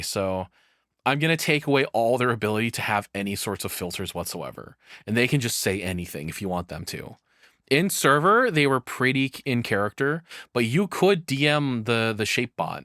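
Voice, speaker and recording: Male streamer, low voice, close dry sound, rambling sweary reaction talk. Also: fairly bright tone, dry recording. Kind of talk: casual off-the-cuff commentary.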